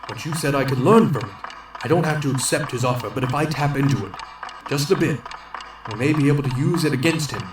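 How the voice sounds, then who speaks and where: A brave, defiant superhero with a power ring, played by a man. His lines come out loud and earnest, full of heroic resolve, at a middle pitch. Audio drama. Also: quick pace, lightly acted, poor audio. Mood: urgent.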